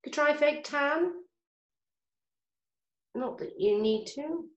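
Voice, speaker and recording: A woman's voice: high voice, expressive, slow pace, muffled recording. An actress playing a chatty, fussing, irritable middle-aged mother in a radio play, gossipy and exasperated. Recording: roomy, echoing sound.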